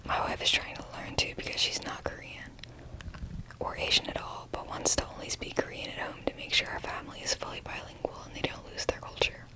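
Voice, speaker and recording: A woman's voice: brisk, rough recording. A woman whispering close to the mic as she reads posts aloud, with wry asides in between. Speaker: ASMR reader. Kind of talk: close-up whispered ASMR reading.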